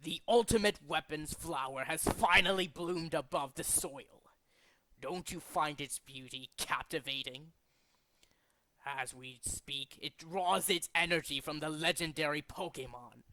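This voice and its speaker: A man voicing a grandiose, zealous villain leader in a video game, speaking in a deliberate, pompous, theatrical way. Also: on the high side, pushed and bright. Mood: grandiose, grim. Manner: deliberate, dramatic, pompous declaiming. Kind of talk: theatrical video game character demo reel.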